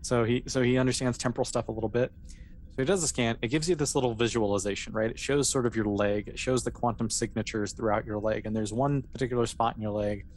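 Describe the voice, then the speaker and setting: A fast-talking game master improvises narration for a tabletop game. The delivery is loose and explanatory, with conversational asides. There is some background noise in the recording. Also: medium pitch, fairly even intonation, darkish tone.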